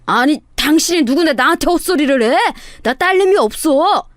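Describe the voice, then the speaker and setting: A woman's voice: high-pitched, expressive, normal speed, mellow, no room sound, studio quality, pitched above the performer's own voice. A woman voicing an indignant, crude old male swindler who blusters and sputters in a dramatized story.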